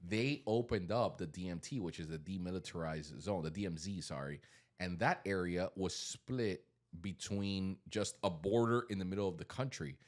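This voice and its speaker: A man's voice: low-pitched, normal speed. A man co-hosting a podcast in casual slangy chat, laughing often and explaining things at length. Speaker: podcast co-host.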